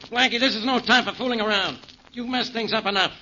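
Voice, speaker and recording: An actor playing a gruff, impatient American police inspector in a 1940s radio drama. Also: male voice, high voice, fast, pushed and bright. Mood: exasperated. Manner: brusque, official. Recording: muffled, hissy 1940s radio recording.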